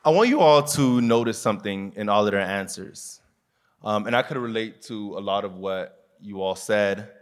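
Casting: deep-voiced male moderator from Miami, earnest, speaking to a live audience on stage. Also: normal speed. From Miami, USA. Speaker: panel moderator. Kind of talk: live panel discussion.

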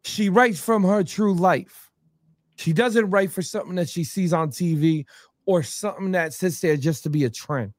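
A man hosting an interview, animated and speaking directly to the viewers. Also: high voice, moderate pace, no echo, studio quality. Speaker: interview host. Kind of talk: casual interview talk.

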